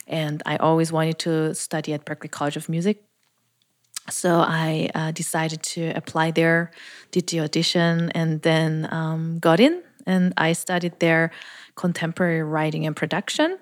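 A woman from Austria, a music producer guest, talking casually and thoughtfully with many pauses and fillers. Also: sits low, fairly even intonation. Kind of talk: casual interview.

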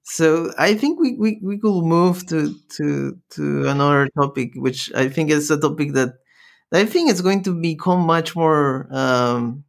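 Creaky-voiced man, halting interviewer. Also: on the high side. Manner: halting, repeats words as he forms thoughts. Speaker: interview host. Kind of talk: casual conversational interviewing.

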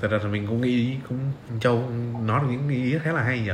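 A man's voice: medium pitch, brisk. A young man hosts a casual podcast interview in a mid-range voice. He is agreeable and conversational as he guides his guest. The sound is roomy and echoey, with faint music behind. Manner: agreeable, frequent short acknowledgements. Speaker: podcast host.

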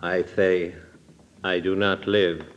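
A very deep-voiced male killer speaks slowly and with eerie courtesy. He is hushed and menacing, heard on a muffled vintage radio recording. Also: level tone. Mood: quietly menacing. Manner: slow, formal, eerily polite. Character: soft-spoken menacing strangler who claims he is dead. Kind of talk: old-time radio drama acting.

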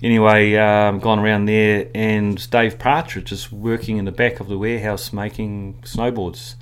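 Low-voiced kiwi man telling stories. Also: moderate pace. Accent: New Zealand. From New Zealand. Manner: rambling, animated storyteller with many fillers. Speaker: guest. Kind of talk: casual storytelling interview.

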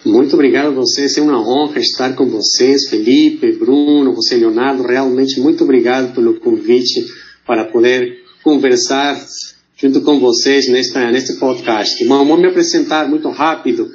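A middle-aged man from Mexico City with a Mexican Spanish accent, speaking formally as a guest on a podcast, with filler sounds between phrases. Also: fairly high pitch. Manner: formal, steady, many fillers. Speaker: guest, consular diplomat. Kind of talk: podcast interview. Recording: muffled lo-fi audio.

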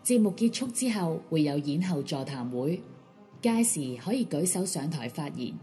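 Mellow, lowish Cantonese woman announcer. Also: expressive, normal speed. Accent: Hong Kong Cantonese. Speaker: show announcer. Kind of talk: scripted pre-show announcement for a live radio drama. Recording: faint music under the voice. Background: faint background music.

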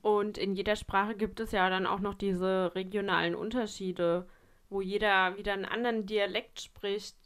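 Woman, smooth resonant voice, measured, articulate talker. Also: mid-range voice, steady tone. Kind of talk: casual chat.

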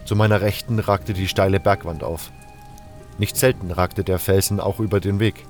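A man with a fairly low voice narrates a fantasy audiobook. He reads scripted first-person story text over a bed of music. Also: steady tone, moderate pace.

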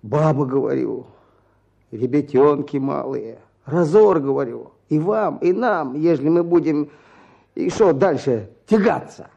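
Husky, weary peasant man. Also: fairly high pitch, mellow, dull audio. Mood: pleading, indignant. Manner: rustic folksy speech, halting, plaintive. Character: weary peasant petitioner, back from prison, aggrieved and stubborn. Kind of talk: Russian radio drama acting.

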